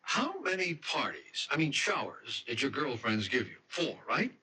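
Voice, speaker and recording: An actor playing a protective, skeptical young fiancé in a radio mystery, with colloquial, emphatic delivery. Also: male voice, mid-range voice, very animated, a little slow, sharp tone, slightly rough, exaggerated voice. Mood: skeptical, teasing. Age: young adult. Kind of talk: radio mystery drama acting.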